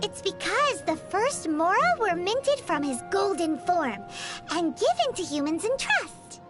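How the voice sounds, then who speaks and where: Tiny fairy sidekick, very high squeaky childlike voice, lively swooping pitch, cute, naive, excitable, video game acting. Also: female voice, unhurried, heavily acted. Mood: chirpy, explaining. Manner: speaks of self in third person, exaggerated cute delivery. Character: small chirpy childlike female fairy companion, naive, excitable. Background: music.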